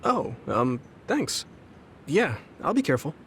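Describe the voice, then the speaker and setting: An actor playing an earnest, gentle, idealistic young fighter in a video game, with a slightly hesitant manner. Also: male voice, a little high, sing-song, a little slow, slight background noise. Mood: sheepish, reflective. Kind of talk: English video game voice acting.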